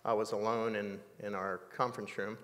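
Older guy in his 60s telling his story slow and thoughtful, lotsa ums, echoey studio. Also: lowish voice, level tone, fairly bright tone. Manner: measured, reflective storytelling with many fillers. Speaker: interview guest. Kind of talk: personal testimony in an interview. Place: dark studio set.

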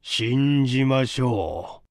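A man voicing a sickly, suspicious rich old man with a gravelly, slurred voice and a halting, nagging manner. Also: medium pitch, expressive, no echo. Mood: grudging. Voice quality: gravelly, slurred, thick-tongued. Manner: halting, labored, clinging.

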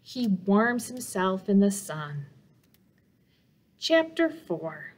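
A middle-aged woman, a children's librarian reading a picture book aloud in simple, deliberate phrases for young listeners. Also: medium pitch, sing-song. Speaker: children's librarian and storytime host. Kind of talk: children's storybook read-aloud. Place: small office room indoors.

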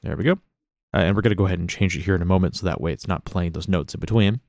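Fast-talking low-voiced male tutorial instructor. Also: creaky, over loud music. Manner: conversational, explanatory. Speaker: music production tutorial instructor. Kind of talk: casual software tutorial narration.

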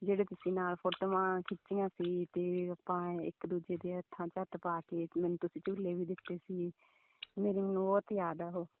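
A woman's voice: fairly low pitch, flat delivery, normal speed. A woman chatting casually and intimately on the phone, politely deferential. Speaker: phone call partner. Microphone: phone call. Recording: thin lo-fi phone line.

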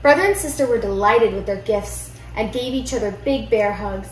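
A young woman reads a children's picture book aloud with expressive storytelling in a living room. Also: medium pitch, moderate pace. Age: young adult. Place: indoor living room at home. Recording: roomy echo, music behind.

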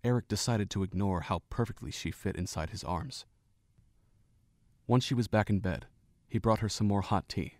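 A man narrating a fan audio drama in an even, measured reading voice. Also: lowish voice, no echo. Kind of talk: scripted story narration. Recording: home narration with faint music.

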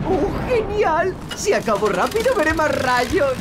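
A woman's voice: high-pitched, a little slow, heavy background noise. A woman voicing an eager, boastful, clumsy young digger machine in a Castilian Spanish children's cartoon dub. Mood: excited. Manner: excitable, exclamatory.

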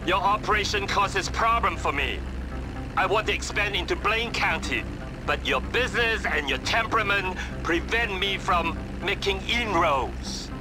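An actor playing an older crime boss in a video game, formal and polite yet threatening. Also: male voice, sits high, a little slow, music in the background, low-quality recording. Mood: cold, menacing. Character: calm, menacing older Chinese male crime boss. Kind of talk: video game cutscene acting.

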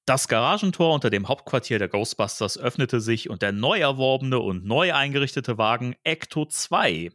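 A man's voice: mid-range voice, animated, moderate pace, hardly any pauses, a bit bright, no room sound, studio quality. A man hosting a podcast, reading a novel aloud and breaking off with giggly asides. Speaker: podcast host.

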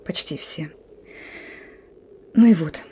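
A woman's voice: low-pitched, slow pace, darkish tone, slight background noise. An emotive young partisan girl. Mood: dreamy, yearning. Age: young adult. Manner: blunt, colloquial, emotive. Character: young female partisan sentry, gruff yet dreamy and yearning. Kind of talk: Russian radio drama acting.

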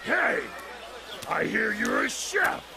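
An actor playing a loud, jovial fighter in a video game, with a hearty shouting delivery. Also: male voice, very high voice, sing-song, slow, creaky, background noise, heavily acted. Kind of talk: video game character acting.

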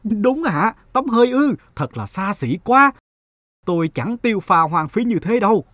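A man voicing a whining, scheming young beggar who pleads and flatters in a cartoon morality tale. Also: high-pitched, a little slow, dry recording, pitched above the performer's own voice, exaggerated voice. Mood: pleading. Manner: pleading, ingratiating.

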